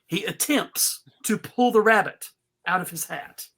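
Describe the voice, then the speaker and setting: A woman's voice: mid-range voice, unhurried, fairly bright tone. A woman reading stage directions as narrator in a live, comedic script read.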